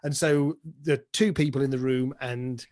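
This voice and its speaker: A man's voice: mid-range voice, fairly even intonation, normal speed, darkish tone. Chatty British man explaining things step by step. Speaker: pantomime dame and director, interview guest. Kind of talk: casual interview explanation.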